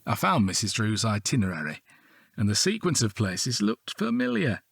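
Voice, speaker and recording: An actor with a British accent playing a dry, teasing police detective and long-married husband in an audio drama. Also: male voice, on the low side, huge pitch swings, moderate pace, creaky, a bit bright, breathy edge, dry recording, heavily acted. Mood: matter-of-fact. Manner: wry, dry-humoured. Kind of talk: naturalistic audio drama acting.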